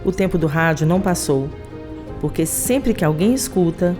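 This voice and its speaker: A woman's voice: lowish voice, animated, moderate pace. A Brazilian woman reading scripted, poetic podcast narration with music behind her.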